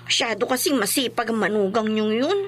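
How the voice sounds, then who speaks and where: A woman's voice: fairly high pitch, a little fast, a bit bright. A woman playing a nervous young wife in a radio drama, respectful and hesitant. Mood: explaining.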